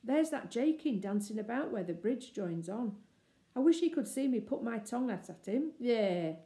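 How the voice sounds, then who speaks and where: A woman voicing a bold, boastful young boy in a children's storybook, excited and declamatory, eager for a fight. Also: on the high side, normal speed, natural voice. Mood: excited, defiant. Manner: excited, declamatory, bellowing cheers and commands. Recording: echoey room.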